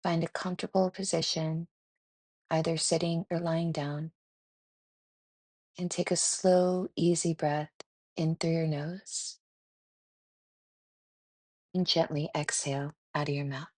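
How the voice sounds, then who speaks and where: Low-voiced woman guiding a meditation. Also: level tone, no room sound. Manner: gentle, soothing, unhurried with pauses. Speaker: guided meditation host. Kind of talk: guided meditation narration.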